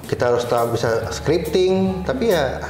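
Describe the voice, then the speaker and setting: A man's voice: a little high, lively intonation, normal speed, darkish tone. Jakarta man chatting in a roomy space. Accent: Jakarta Indonesian. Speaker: interview guest, cyber security supervisor. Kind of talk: casual conversational storytelling. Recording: roomy echo, faint background music.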